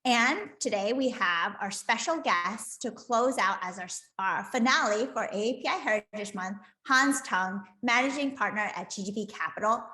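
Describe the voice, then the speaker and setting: A woman's voice: medium pitch, expressive, normal speed, hardly any pauses, sharp tone. A woman hosting a live online interview in a conversational way. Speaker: host and interviewer. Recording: roomy, echoing room sound.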